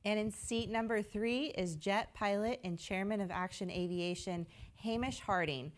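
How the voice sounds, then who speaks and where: A woman's voice: medium pitch, moderate pace. A woman co-hosting a live launch broadcast, introducing crew with upbeat presenter delivery. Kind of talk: scripted live broadcast commentary.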